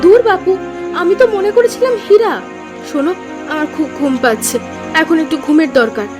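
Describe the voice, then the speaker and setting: A woman voicing a greedy cartoon woman who talks in a shrill, quick-tongued way. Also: sing-song, music in the background.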